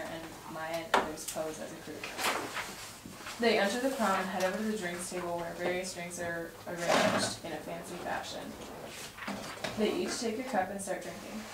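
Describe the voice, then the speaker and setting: A young woman reading stage directions aloud at a table read, recorded from across a dining room. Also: fairly low pitch. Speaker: script narrator at a table read. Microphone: far camera mic. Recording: distant mic, roomy echo, noisy.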